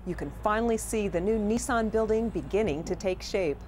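A woman's voice: mid-range voice, brisk, heavy background noise. A middle-aged woman delivering scripted news narration as a video reporter.

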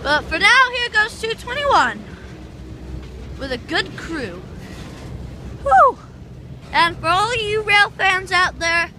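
Very high-voiced excitable train spotter. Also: female voice. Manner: excitable, cheers and whoops at passing trains. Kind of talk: live excited railfan commentary. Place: outdoors on a train station platform. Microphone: handheld phone. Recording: loud train noise, outdoor phone audio. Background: loud passing freight trains.

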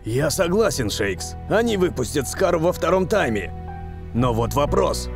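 Stern analytical team coach, cartoon, gravelly voice, music underneath. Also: male voice, a little high, big pitch swings, normal speed. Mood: serious. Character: serious, analytical male football coach. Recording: music over the voice.

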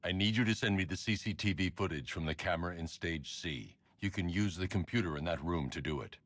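Stoic masked hero, bottom-of-the-range raspy voice, commanding, for a dark action game. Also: male voice, low-pitched, brisk, dry recording. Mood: grim, controlled. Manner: terse, commanding, controlled. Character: grim, stoic adult male masked vigilante hero.

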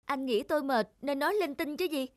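A woman voicing an earnest, kind-hearted young woman in an animated folktale, with music behind her. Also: sits high, brisk, no room sound, studio quality, lightly acted. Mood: insistent. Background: background music.

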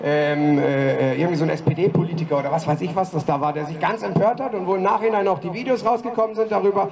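A man giving a halting protest speech at an open mic on a city street, with many fillers and restarts, speaking into a handheld mic. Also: high voice, vocal fry, recorded from a distance, a crowd in the background. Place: city street outside a broadcast building. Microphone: handheld mic, far camera.

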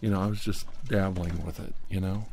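A man in his forties performing a comedic roleplay monologue to camera in a halting, defensive, conspiratorial manner. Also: low-pitched, fairly even intonation, vocal fry, mellow, a little hiss or hum. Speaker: video host.

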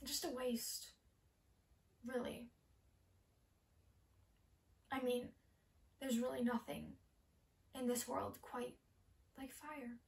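A woman acts out an obsessive female pyromaniac in a theatrical monologue. The delivery is slow, hushed and halting, wistful yet intense, in a higher voice picked up from some distance. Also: fairly even intonation, creaky. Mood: wistful, disappointed. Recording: distant camera microphone in a room.

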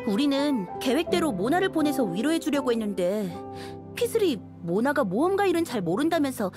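A woman playing a spirited, upbeat young woman musician in a video game, friendly and expressive. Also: sits high, a little fast, music in the background.

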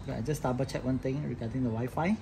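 A man with a mid-pitched voice walks through an informal technical setup. He explains step by step as an installer working at the bench, with clear room noise behind him on a handheld recording. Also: fairly even intonation, rapid-fire. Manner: instructional, talking through each step while working. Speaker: installer giving a tutorial. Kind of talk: informal hands-on tech tutorial. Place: indoor office workbench with electronic devices.

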